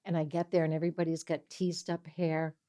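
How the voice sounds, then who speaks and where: A woman in her seventies hosts a relaxed conversation, talking in a lower register. She is chatty and animated and often laughs while she tells stories. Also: brisk. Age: 70s. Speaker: host, mental health coach. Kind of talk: casual interview chat.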